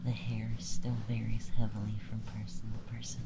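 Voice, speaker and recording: Over clear background noise comes a haughty femboy alien abductor, acted by a man, in a soft ASMR roleplay. The delivery is level and crackly, slipping into breathy whispers. The alien sounds clinical, formal and condescending, assessing humans in measured phrases. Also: on the low side, monotone. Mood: detached curiosity.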